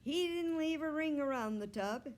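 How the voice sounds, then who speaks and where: A woman reading a storybook and voicing an excitable, bossy young girl who exclaims loudly. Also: sits high, moderate pace, voice pushed up. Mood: matter-of-fact. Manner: loud, exclaiming. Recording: clear background noise.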